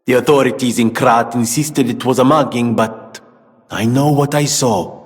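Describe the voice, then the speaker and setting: A male actor playing an eccentric, haunted gentleman inventor in a video game, speaking in a theatrical, eloquent and earnest way. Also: mid-range voice, moderate pace, in a large hall, natural voice. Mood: haunted grief. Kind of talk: dramatic video game character dialogue.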